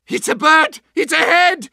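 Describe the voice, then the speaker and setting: An actor playing an unhinged German battlefield doctor with a theatrical, barking manner and a German accent. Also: male voice, extremely high pitch, vocal fry, pushed and bright, dry recording, studio quality, exaggerated voice. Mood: manic. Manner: theatrical, barking, gleefully deranged. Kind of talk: video game voice lines.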